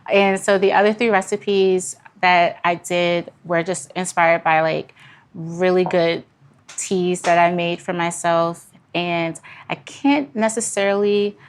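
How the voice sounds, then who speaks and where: A woman speaks as a podcast guest in a slightly low voice. Her delivery is informal and candid, like casual chat with the hosts. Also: fairly even intonation, slow pace, smooth. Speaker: podcast guest, brewery founder.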